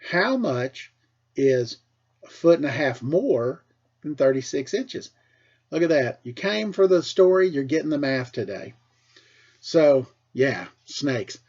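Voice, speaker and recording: A middle-aged American man, a teacher with a husky voice, tells casual personal stories for children in an animated way, acting things out as he goes. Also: a little high, slow, lo-fi sound. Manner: animated, acts out dialogue and sound effects. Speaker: school teacher telling stories to pupils.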